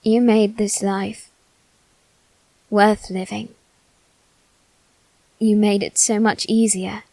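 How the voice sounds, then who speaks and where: A woman playing a proud, wounded aristocratic boy in an ASMR roleplay, speaking in a halting, theatrical way with formal phrasing. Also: mid-range voice, flat delivery, a little mellow, no echo, poor audio. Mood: wistful, tender. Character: proud, wounded, self-loathing aristocratic boy facing his demon. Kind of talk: ASMR character roleplay, dramatic monologue.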